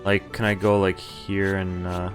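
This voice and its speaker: A man streaming a game, reading its text aloud and commenting informally, in a halting way as he thinks out loud. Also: sits low, little pitch movement. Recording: music under the voice. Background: game music.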